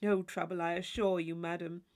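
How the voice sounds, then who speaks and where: A woman voicing a witty, teasing gentleman in his mid-twenties, fluent and mock-formal, in a classic novel reading. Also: on the low side, little pitch movement, moderate pace, pitched below the performer's own voice, natural voice. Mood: polite, teasing. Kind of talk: classic novel audiobook character voice.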